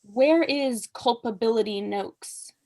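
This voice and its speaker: A woman's voice: on the high side, takes it slowly, mellow. A young woman acting a haughty, witty aristocratic mother in a staged reading, pompous, arch and declamatory. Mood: arch, imperious. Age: young adult. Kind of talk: staged reading of a period comedy.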